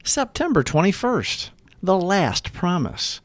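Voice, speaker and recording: A man giving a scripted reading of devotional recovery reflections in a measured, earnest way. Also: high-pitched, sing-song, no echo.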